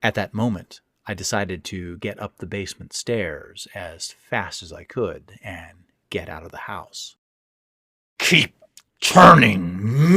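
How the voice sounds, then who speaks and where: A man's voice: sits low, no room sound, studio quality. A man reading his own first-person horror fiction as narrator, in a dramatic, suspenseful way. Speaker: author and narrator.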